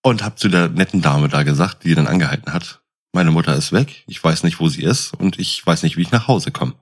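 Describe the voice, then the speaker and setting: Male, very low and rough-edged. Animated, casual anecdote teller. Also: fairly quick.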